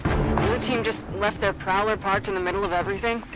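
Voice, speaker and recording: An analytical squad soldier acted by a woman with a mid-pitched voice. She sounds matter-of-fact and questioning, in a game cutscene with clear music underneath. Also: fairly even intonation, brisk, natural voice. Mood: puzzled, focused. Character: analytical, level-headed soldier on a futuristic squad. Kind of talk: video game cutscene acting.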